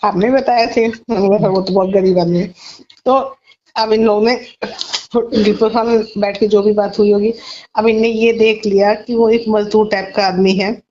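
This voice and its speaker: A muffled, low-fi phone recording of a woman with an Uttar Pradesh accent. She speaks at a medium pitch in a casual, private way. She tends to relay conversations in reported dialogue. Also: steady tone, normal speed, dark tone, a little hiss or hum. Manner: conversational, recounts others' words in reported dialogue. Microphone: phone call recording.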